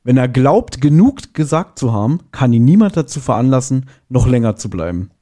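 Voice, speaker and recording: Man, soft mellow tone, casual podcast guest, conversational review chat. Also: mid-range voice, very animated, brisk.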